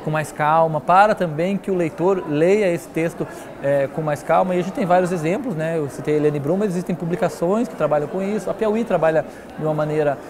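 A man in his forties with a Brazilian accent, answering interview questions in an emphatic, conversational way with repetition and frequent tag questions. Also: a little high. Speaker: interview guest, literary newspaper editor.

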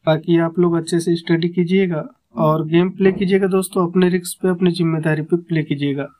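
A man with a fairly high voice explains number-chart calculations in a flat, level tone. He counts aloud step by step and repeats each sum as he goes. He sounds close to the mic. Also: a little fast. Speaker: number-game tipster.

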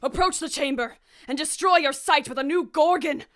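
A woman playing a high-voiced, impassioned Shakespearean noble. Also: moderate pace, fairly bright tone. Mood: horrified. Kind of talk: Shakespearean radio drama acting.

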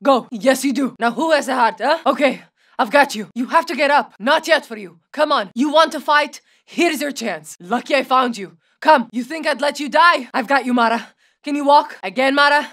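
A woman's voice: high voice, normal speed, a bit bright, no room sound. A woman voicing a battle-hardened female soldier operator in a game, speaking in clipped military callouts with dry humor. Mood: urgent. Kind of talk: game voice lines.

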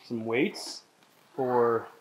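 A woman's voice: extremely low pitch, very slow, very noisy. Upbeat chatty woman touring an old mill. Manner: upbeat, chatty, keeps calling others by name. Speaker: distillery owner giving a tour. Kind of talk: casual walkthrough commentary. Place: large empty old mill building, indoors.

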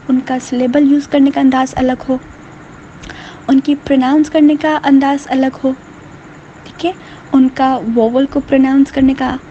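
A woman teaching a linguistics lesson over slides, explaining in Hindustani and reading English definitions slowly. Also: high-pitched, dark tone, muffled recording, slight background noise.

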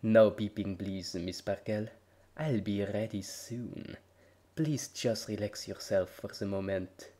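Male reader as a hushed, courteous spa pony; low, soft, formal. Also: steady tone, slow pace. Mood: soothing. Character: polite composed female spa pony.

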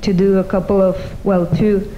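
Halting woman, native Spanish speaker, on stage. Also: medium pitch, little pitch movement, muffled recording, a little hiss or hum. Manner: hesitant, halting. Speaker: singer-songwriter. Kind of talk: informal stage talk before a performance. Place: large meeting room with seated audience. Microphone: stage microphone on a stand.

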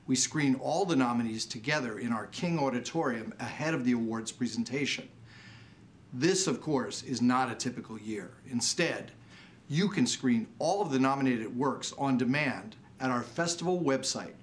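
A man in his sixties with an American accent presents an awards show as host, in a formal, measured, scripted delivery. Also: medium pitch, a little hiss or hum. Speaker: professor. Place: indoor TV studio.

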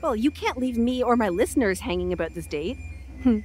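A woman's voice: a little high, lively intonation, brisk, a little mellow, a little hiss or hum, lightly acted. A woman playing a chatty radio host in an audio drama, friendly, inquisitive and a little wry. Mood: curious, wry. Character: curious, chatty female Canadian radio show host.

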